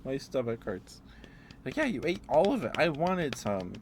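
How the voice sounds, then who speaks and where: A man streaming casual, rambling ASMR chat, telling stories in a halting, meandering way. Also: mid-range voice, very animated. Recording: clearly audible background noise.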